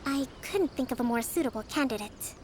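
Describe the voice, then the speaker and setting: A woman voicing a calm young female leader in a video game, measured and diplomatic in negotiation. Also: sits high, slight background noise, natural voice.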